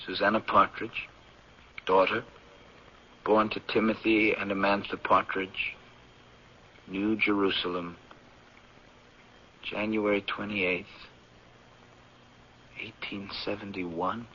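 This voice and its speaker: An actor in an old-time radio drama playing an agitated, incredulous man of twenty-nine, excitable and protesting. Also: on the low side, takes it slowly, long pauses, husky. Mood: subdued. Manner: excitable, protesting, stammering when unsure. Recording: muffled, noisy vintage radio broadcast.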